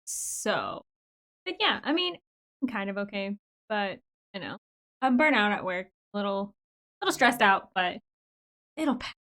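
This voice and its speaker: An American woman co-hosting a podcast, chatting casually and expressively and quick to laugh. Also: mid-range voice, very animated, sharp tone. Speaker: podcast co-host. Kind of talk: casual chat.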